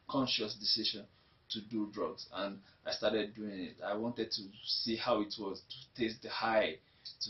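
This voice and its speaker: A young Nigerian man with a Nigerian accent, telling his life story in an interview in a reflective way that often repeats itself. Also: on the low side. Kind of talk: personal testimony. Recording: dull lo-fi recording.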